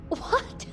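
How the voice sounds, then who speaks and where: An actress playing an outraged, defiant crew member in an audio drama, confrontational, sarcastic and emphatic. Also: female voice.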